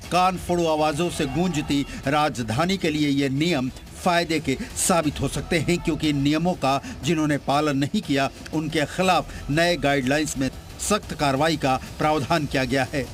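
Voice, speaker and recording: A man delivering an emphatic, scripted television news report as a reporter. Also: a little high. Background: music bed.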